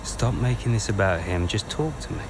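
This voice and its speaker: A man's voice: lowish voice, lively intonation, moderate pace, lightly acted. Guy doing a glib sarcastic young brother, voice jumps around a lot, car noise behind. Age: young adult. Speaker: racing team member. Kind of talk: video game cutscene acting. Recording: game cutscene with vehicle ambience.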